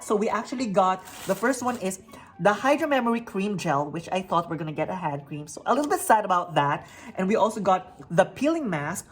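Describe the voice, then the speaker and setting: A woman's voice: medium pitch, breathy edge. A woman in her forties hosting a beauty unboxing vlog, bubbly and upbeat, reading product labels aloud from her home. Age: 40s. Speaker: beauty vlogger. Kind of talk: unboxing review. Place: home near a busy street.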